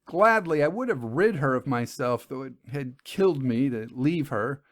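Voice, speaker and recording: A man's voice: mid-range voice, animated, normal speed, no echo, clean studio sound. A middle-aged man giving a dramatic reading of a gothic first-person tale, grave and sorrowful, his voice rising in anguish at its peaks. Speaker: narrator.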